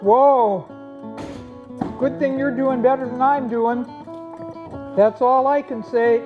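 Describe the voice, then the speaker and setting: A woman voicing an excitable, folksy pioneer farmer in a children's puppet show, full of exclamations. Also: high-pitched, slow pace. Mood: excited, urging. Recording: dull lo-fi audio, guitar music behind.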